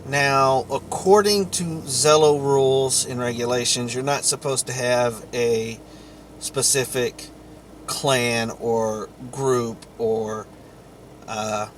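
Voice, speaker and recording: A man giving an informal explainer monologue to viewers in a halting, deliberate way, with long pauses. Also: medium pitch, steady tone, a little hiss or hum. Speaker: vlogger.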